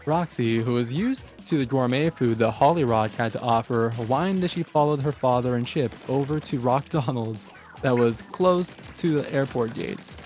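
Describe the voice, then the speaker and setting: A man's voice: mid-range voice, moderate pace, a little mellow. A man reading a story aloud as narrator in a scripted storytelling style, with music behind him.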